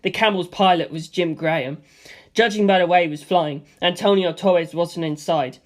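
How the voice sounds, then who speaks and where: Male amateur audiobook reader, animated first-person storytelling, action fiction narration. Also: sits high, normal speed.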